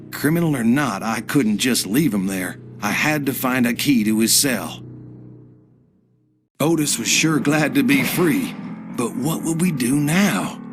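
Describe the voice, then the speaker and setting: A folksy, haunted farmer with a rural American accent tells his survival story as a man acts it out for a video game. His voice sits at a middling male pitch. Music plays clearly behind his plainspoken narration. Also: natural voice. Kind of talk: scripted first-person game narration.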